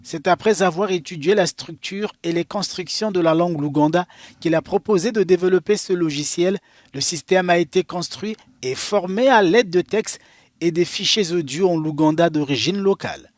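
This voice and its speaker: A man reading a news report as voice-over in a measured way. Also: sits high, no room sound, clean studio sound. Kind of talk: voice-over narration.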